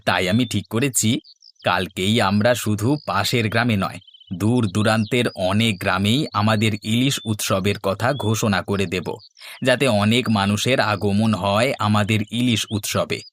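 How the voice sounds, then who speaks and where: A man's voice: on the low side, steady tone, a little slow, fairly bright tone, a little hiss or hum. A man voicing an earnest, modest and respectful village man in a cartoon. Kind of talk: Bengali cartoon dubbing.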